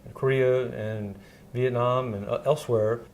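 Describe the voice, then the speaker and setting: A man's voice: mid-range voice, fairly even intonation, a little mellow, slight background noise. A man interviewing an older journalist, prompting him and finishing his thoughts. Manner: brisk, prompting and filling in words. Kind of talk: interview questions.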